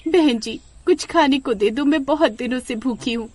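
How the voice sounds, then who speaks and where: A woman's voice: high-pitched, normal speed, a little mellow, dry recording, pitched above the performer's own voice. A woman voicing a poor, starving, pleading village beggar in a horror story.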